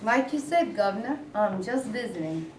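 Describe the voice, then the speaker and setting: A woman in a staged reading voicing a calm, guarded young man visiting from the city. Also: medium pitch, moderate pace, distant mic, lightly acted. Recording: echoey room, voice from afar, faint hiss.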